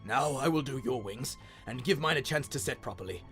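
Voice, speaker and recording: A man's voice: mid-range voice, animated, brisk, a bit bright, faint music behind, lightly acted. A man voicing an old inventor father who is anxious, weary and loving, muttering and pleading urgently.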